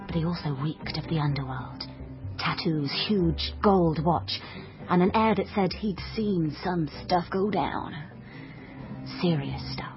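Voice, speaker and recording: A woman's voice: lowish voice, measured pace, dull audio, over music. An actress playing a naive young woman who dreams of being a noir detective, earnest, awkward and self-deprecating in a radio drama. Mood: dramatic. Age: young adult. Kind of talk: radio drama acting and confiding narration.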